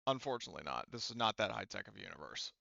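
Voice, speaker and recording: A man running a tabletop roleplaying game, explaining the story in a halting, conversational way. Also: on the low side, big pitch swings, creaky, pushed and bright, no echo, lo-fi sound. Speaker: game master.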